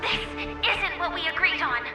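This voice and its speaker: A woman's voice: very high-pitched, moderate pace. An actress playing an anxious young woman, trapped and betrayed, with urgent, emotional delivery in a video game. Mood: shocked, confused. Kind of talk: dramatic video game acting. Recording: echoey game voice under loud music.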